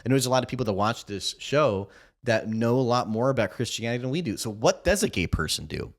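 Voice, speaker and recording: A young man hosts a loose podcast conversation, his pitch swinging up and down as he talks. He rambles through fillers and slips into teasing, off-color jokes. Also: lively intonation, quick pace. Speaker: podcast host. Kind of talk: casual chat.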